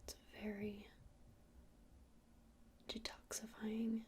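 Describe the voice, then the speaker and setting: A woman's voice: medium pitch, monotone, a little mellow, a little hiss or hum. Woman playing a whispering, soothing witch shopkeeper. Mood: soothing, unhurried. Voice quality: breathy whisper. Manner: unhurried, hesitant, soothing explaining. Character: gentle witch shopkeeper showing crystals to a customer. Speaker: ASMR roleplay performer. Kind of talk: whispered ASMR roleplay.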